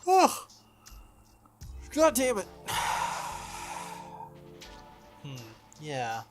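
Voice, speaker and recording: Male let's play narrator, animated read with casual irreverent commentary, faint music behind. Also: high-pitched, extremely slow. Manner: irreverent, swearing asides. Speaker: let's play video host. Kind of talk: reading a visual novel aloud with casual asides.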